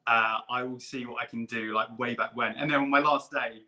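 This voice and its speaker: A man telling eager, rambling stories on a video call, like an excited fan. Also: medium pitch, brisk. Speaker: guest puppeteer and performer. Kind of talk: casual chat.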